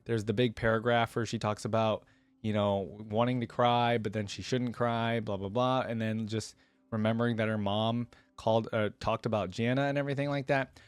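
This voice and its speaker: A man hosting a reaction video, giving casual, informal commentary and thinking out loud with enthusiasm. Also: lowish voice, steady tone, normal speed, clear, resonant tone, no room sound. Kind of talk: casual reaction commentary with some reading aloud.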